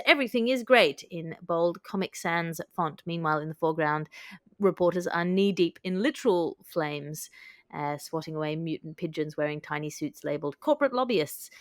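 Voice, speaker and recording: A woman hosting a comic magazine-style podcast in a wry, wordy, mock-formal way. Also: lowish voice. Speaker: podcast host.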